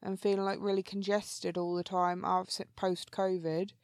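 Brief: female co-host, mid pitch, rambling and chatty, congested, dry mic. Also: flat delivery, moderate pace, no echo. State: phlegmy, congested. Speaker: podcast co-host. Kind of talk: casual podcast chat.

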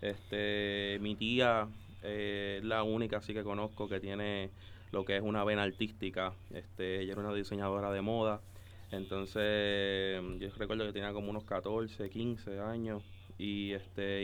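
A young man in his twenties with a clean, smooth voice that sits a little low. He speaks Caribbean Spanish and tells personal stories in a casual, conversational way as a podcast guest. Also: little pitch movement, moderate pace. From Dominican Republic and Puerto Rico. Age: 20s. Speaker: podcast guest, photographer and videographer.

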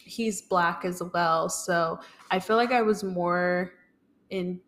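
A woman's voice: on the low side, takes it slowly, a bit bright. A young woman, speaking casually and tentatively as a podcast guest, thinking as she goes. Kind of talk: casual personal conversation.

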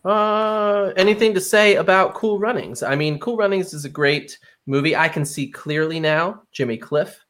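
A man's voice: sits high, moderate pace, few pauses, fairly bright tone. A man hosting a podcast, debating films casually with an opinionated, conversational delivery. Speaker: podcast host.

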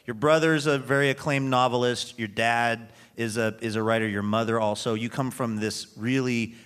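A man's voice: mid-range voice, fairly even intonation, normal speed, fairly bright tone, smooth. A man hosting a casual, joking live interview in a wry, conversational way. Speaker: radio show host, interviewer.

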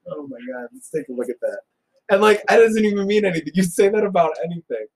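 A young adult man co-hosting a casual podcast, riffing and joking in an excitable, lively way. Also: sits high, fast, low-quality recording. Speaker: podcast co-host. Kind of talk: casual chat.

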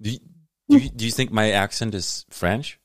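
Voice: mid-range voice, wildly swooping pitch, normal speed, no echo, clean studio sound. A podcast host with a German accent, a native German speaker, chatting casually, laughing often and searching for English words. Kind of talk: casual conversational interview.

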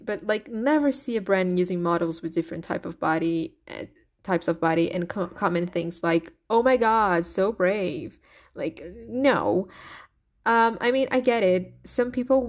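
Brazilian-accented young woman hosting a podcast. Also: medium pitch. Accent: Brazilian Portuguese. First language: Portuguese. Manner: animated, frequent laughs, rhetorical questions. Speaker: podcast host. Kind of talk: solo opinion podcast.

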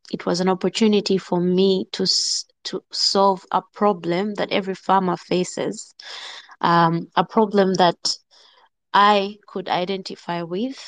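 A woman, answering interview questions as a startup co-founder in a measured, thoughtful way with frequent pauses. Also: medium pitch, dry recording. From central Uganda. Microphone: remote call-in on a live audio chat.

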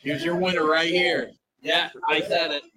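Higher-voiced man making dry calls. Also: little pitch movement, moderate pace, pushed and bright. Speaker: podcast co-host. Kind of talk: casual live chat.

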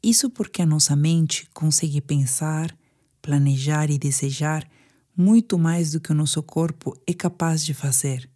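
A woman with a Brazilian Portuguese accent guiding a sleep meditation in a soothing, hushed manner with pauses. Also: deep voice, steady tone. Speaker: meditation guide. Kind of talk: guided sleep meditation narration.

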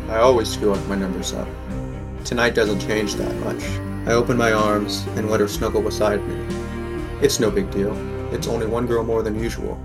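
A man's voice: lowish voice, level tone, normal speed, loud music behind the voice, close to an everyday voice. A man playing a wry, protective sixteen-year-old boy from a poor district, narrating a scripted audio drama in the first person.

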